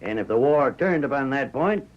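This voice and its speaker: An actor playing a firm, middle-aged American ranch owner reasoning in a measured, articulate way in a 1940s radio Western. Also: male voice, mid-range voice, animated, lightly acted. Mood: persuasive. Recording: muffled vintage 1940s radio recording.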